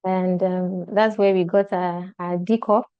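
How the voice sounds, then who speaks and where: A woman moderating an online meeting, talking in a halting way with many filler pauses. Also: fairly low pitch, level tone, clear, resonant tone, dry recording. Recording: dull lo-fi video-call audio.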